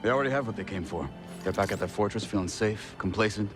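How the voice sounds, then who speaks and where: A confident male ally voiced by an actor with a fairly low voice, wry and tactical. It sounds like natural game cutscene dialogue with music behind. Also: fast, lightly acted. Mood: confident, urgent. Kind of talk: naturalistic cinematic video game acting.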